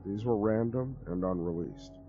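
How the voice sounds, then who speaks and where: Guy reading a creepy story, kinda low voice, muffled lo-fi audio w music behind. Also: normal speed. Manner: expressive, conversational storytelling. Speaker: narrator. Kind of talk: dramatic horror story reading.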